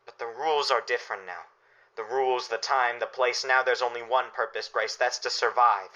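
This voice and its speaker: An embattled, unyielding doctor in a radio drama, played by a man. His mid-pitched voice is intensely tense and forceful, every phrase emphatic, heard through dull lo-fi audio. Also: steady tone, a little fast, natural voice. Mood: anguished resolve. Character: stern, embattled male doctor refusing his neighbors. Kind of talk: radio play acting.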